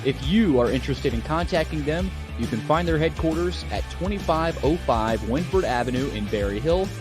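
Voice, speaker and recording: Higher-pitched American man over guitar music. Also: expressive, moderate pace. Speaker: advertisement voice-over. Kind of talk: scripted commercial narration. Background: guitar music bed.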